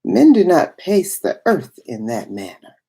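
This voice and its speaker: A woman voicing a wistful, awestruck woman of biblical times in a dramatic literary monologue, with hushed, reverent phrasing and a breathy, husky voice that often drops toward a whisper. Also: fairly low pitch, huge pitch swings, unhurried, exaggerated voice. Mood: wistful, awed. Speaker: reader.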